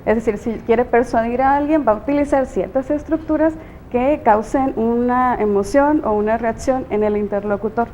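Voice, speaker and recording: A Mexican woman teacher explains her ideas slowly and thoughtfully, with many pauses. Her voice is warm and mellow and sits a little high. The sound is slightly dull, with a faint low rumble behind it. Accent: Mexican Spanish. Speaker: teacher and guest. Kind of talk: interview answers.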